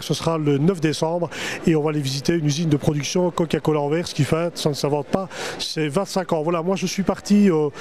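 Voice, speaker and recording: A middle-aged man works the trade-fair floor with a handheld mic, talking fast in a chatty, salesman-like patter. His voice sits a bit high but sounds dark and mellow. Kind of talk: promotional interview at a trade show. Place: exhibition hall stands. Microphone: handheld microphone.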